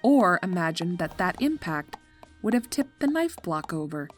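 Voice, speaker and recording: A woman reads a story as its narrator. Her voice sits a little low, with expressive, measured phrasing and a dry comic touch. Music plays softly behind her. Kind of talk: scripted dramatic storytelling narration.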